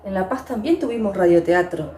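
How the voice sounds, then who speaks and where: A woman's voice: medium pitch, lively intonation, fairly quick, mellow. A woman with an Argentine accent from Entre Ríos, hosting a conversational interview about local history. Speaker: interviewer, host. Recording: roomy echo, clear background noise.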